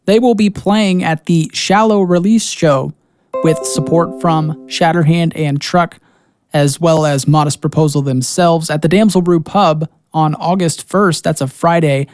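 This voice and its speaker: A man's voice: a little high, steady tone, a little slow, a little mellow, clear, resonant tone, no echo, soft background music. A man hosting a radio show, announcing in a scripted style. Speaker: radio host.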